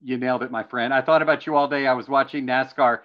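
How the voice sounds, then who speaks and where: A man's voice: mid-range voice, fairly even intonation, fast, lo-fi sound. A male interviewer on a video call, friendly and enthusiastic, using slangy praise for his guest. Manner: friendly, enthusiastic, calls guest "bud" and "dude". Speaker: entertainment interviewer. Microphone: webcam on video call.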